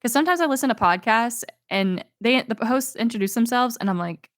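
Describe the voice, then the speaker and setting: A woman's voice: on the high side, expressive, brisk, no room sound, clean studio sound. A young woman, co-hosting a podcast, chatty and laughing often as she tells stories. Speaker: podcast co-host. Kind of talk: casual chat.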